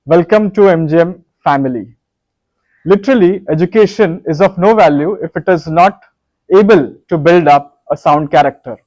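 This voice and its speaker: A formal college dean, voiced by a man delivers a solemn welcome speech. His voice sits a little high and sounds close and dry. He speaks with measured ceremony. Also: darkish tone, dry recording, dull audio, close to an everyday voice.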